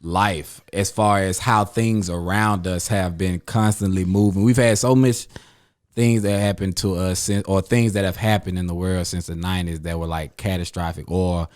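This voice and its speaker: A man hosts a relaxed chat among friends. He talks in loose, rambling stretches full of slang and fillers. His voice sits on the low side. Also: normal speed, clear, resonant tone. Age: 30s. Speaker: podcast host.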